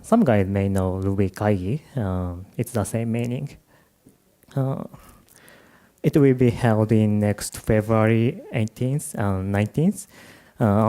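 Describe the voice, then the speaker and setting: A young Japanese man with a Japanese accent giving a conference talk, halting and full of fillers, tired from jet lag. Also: fairly low pitch, dark tone. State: jet-lagged. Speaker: conference speaker. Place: conference room.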